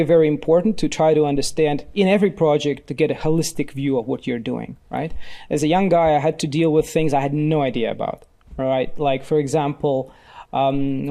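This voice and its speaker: A man from Ukraine talks through his career as a podcast guest. His voice sits a little high for a man but sounds mellow and relaxed. He speaks reflectively, pausing on fillers and restarting sentences. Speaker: podcast guest, company CEO. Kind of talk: relaxed interview talk.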